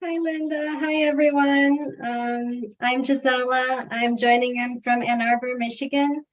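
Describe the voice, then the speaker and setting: A woman from Brazil with a Brazilian accent, casually and haltingly introducing herself on a video call. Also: high voice, fairly even intonation, slight background noise. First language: Portuguese. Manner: hesitant, halting. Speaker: presenter. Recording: dull, distant video-call microphone.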